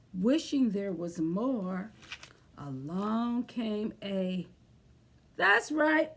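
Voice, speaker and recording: High-voiced, slow-paced woman hosting children's storytime. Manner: encouraging, sing-song, addressing young children. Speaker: children's library storytime host. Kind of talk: children's storytime with rhymes, songs and craft talk.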